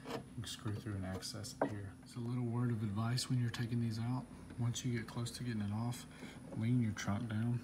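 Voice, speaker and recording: A man's voice: mid-range voice, noisy background. A man hosting his own channel, talking viewers through a hands-on car job in a laid-back, chatty way with lots of "um" fillers. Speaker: car-modding channel host. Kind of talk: casual how-to walkthrough.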